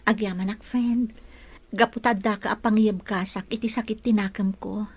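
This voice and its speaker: A woman playing a heartbroken, gentle young woman in a melodrama, speaking with earnest, sorrowful longing. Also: medium pitch, fairly even intonation, moderate pace, breathy edge. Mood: grateful, sad. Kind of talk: Ilocano melodrama acting.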